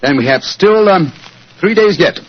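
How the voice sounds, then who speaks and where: An actor playing a shrewd, self-assured Victorian gentleman detective, urbane and incisive, in a 1940s radio drama style. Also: high-pitched, lively intonation, moderate pace, breathy edge. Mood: composed, businesslike. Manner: urbane, incisive, drily polite. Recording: muffled, worn 1940s radio recording.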